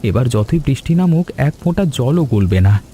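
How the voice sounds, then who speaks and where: Male actor as young cartoon village son, mellow, mid-low voice, respectful and brave. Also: very animated, normal speed, slight background noise, close to an everyday voice. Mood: urgent, helpful. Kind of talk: animated cartoon story acting.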